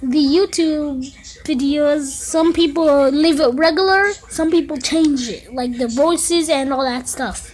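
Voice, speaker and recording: A woman giving casual, halting, informal commentary for a reaction video. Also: high-pitched, darkish tone, low-quality recording. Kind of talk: casual chat.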